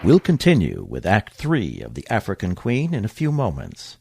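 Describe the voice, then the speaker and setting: An actor playing a polished, courtly show host with formal, grand 1950s radio announcing. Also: male voice, fairly low pitch, huge pitch swings, moderate pace, gravelly, heavy background noise, full character voice. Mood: courtly, promotional.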